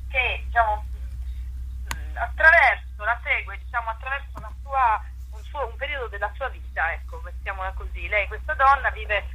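A woman's voice: medium pitch, pushed and bright, breathy edge, rough recording. A woman being interviewed as an actress over a speakerphone call, explaining conversationally with hesitations and self-corrections. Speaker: stage actress interviewed as guest.

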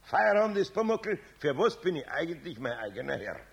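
An actor playing a good-natured, grumbling older master craftsman with a Bavarian accent in a children's radio play, husky and homely. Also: fairly high pitch, sing-song, a little fast, no echo, dull audio, exaggerated voice. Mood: cheerful, longing.

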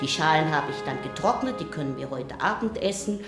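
An actress playing a poor, overwrought post-war mother in a stylised radio play, declaiming in deliberate, chopped phrases. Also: sits low, lively intonation, reverberant, music in the background. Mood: earnest, declaiming.

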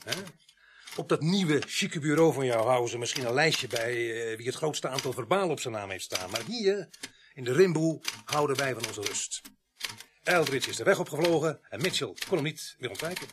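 Actor as a wry police sergeant, noisy recording. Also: male voice, medium pitch, expressive, moderate pace, close to an everyday voice. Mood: firm, placating. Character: easygoing, wry male police sergeant of a rural station. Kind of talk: radio drama dialogue. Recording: noisy radio play recording, typing behind.

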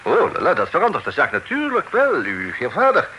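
A very sharp, bright male voice in a slightly noisy old radio play, a vain lawman. He is pompous and fussy, and sprinkles his official speech with French flourishes. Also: fairly high pitch, huge pitch swings, brisk, exaggerated voice. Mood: officious, flustered. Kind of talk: Dutch radio drama acting.

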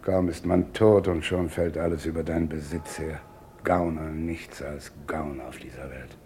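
An actor playing a cynical, gloating British financier in a vintage German crime radio drama, sardonic and theatrical. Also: male voice, rumbling low, steady tone, moderate pace, background noise. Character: cynical, gloating titled British financier scheming on the stock exchange.

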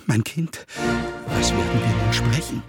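An actor playing a jumpy, cheerful comic bird-catcher in a radio drama, playful and theatrical, speaking rhymed verse. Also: male voice, fairly low pitch, expressive, a little slow, loud music behind the voice. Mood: frightened.

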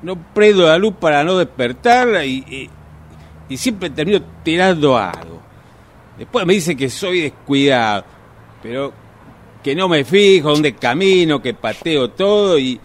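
A man's voice: fairly high pitch, fairly bright tone, slight background noise. A man with an Argentine Rioplatense accent playing a grumbling, tipsy husband in a radio drama, muttering exasperated complaints to himself. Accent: Argentine Rioplatense Spanish. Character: grumbling tipsy husband stumbling home at night.

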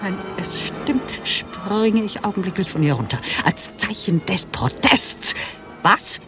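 Angry sweary woman exec for a radio play, slow n venomous, low voice that leaps all over. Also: sing-song, over music. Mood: raging outburst. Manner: venomous, theatrical, swinging from slow sneering to outbursts. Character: furious, foul-mouthed, contemptuous female executive director.

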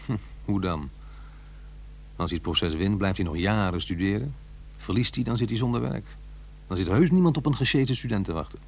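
A man's voice: fairly low pitch, a little mellow, breathy edge. An actor playing an aggrieved middle-aged divorced father in a radio play, muttering and questioning himself. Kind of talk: naturalistic radio play acting.

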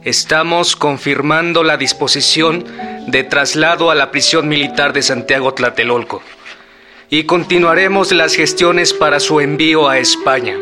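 Actor as formal, lighter-voiced government secretary. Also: male voice, fairly high pitch, unhurried, close to an everyday voice. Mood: formal, reassuring. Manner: formal, official. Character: formal, courteous male presidential secretary dictating official letter. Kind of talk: radio drama, letter read aloud. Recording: loud music behind voice.

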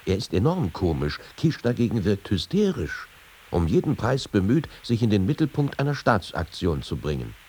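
Male quote reader, low voice, very lively intonation, faint hiss on the recording. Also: normal speed. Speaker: reader of a historical quotation. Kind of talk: animated literary reading.

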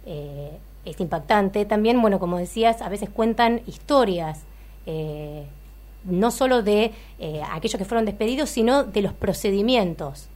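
A woman's voice: mid-range voice, lively intonation, unhurried, a little mellow. Hesitant Argentine woman co-hosting radio. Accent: Argentine, Rioplatense. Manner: hesitant, broken phrasing. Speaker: radio co-host, interviewer. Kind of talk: conversational radio interviewing.

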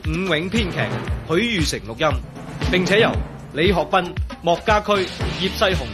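A man's voice: sits high, normal speed. A man announcing a radio drama in Cantonese, reading scripted titles and credits in a dramatic style. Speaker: programme announcer. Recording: loud music under voice. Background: loud music.

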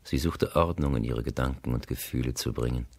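A man narrating a literary radio play, grave, composed and deliberate, on a close studio mic. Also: very deep voice, vocal fry, heavy background noise. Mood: grave, intimate.

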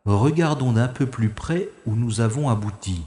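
A man's voice: medium pitch, expressive, moderate pace, warm tone, roomy sound, in a large hall, close to an everyday voice. An actor playing a composed special agent hero who narrates his own escape in the first person with dry, wry asides. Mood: calm. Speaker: lead character and narrator.

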